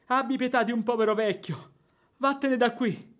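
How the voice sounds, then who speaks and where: A scared old servant in a radio play, quavery and whiny, always pleading. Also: male voice, very high-pitched, moderate pace, fairly bright tone. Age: older. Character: timid, pleading old male household servant. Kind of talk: Italian radio drama acting.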